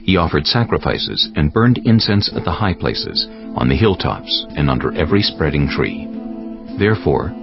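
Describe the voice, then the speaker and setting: Very deep, gravelly male scripture narrator. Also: highs cut off. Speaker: audiobook narrator.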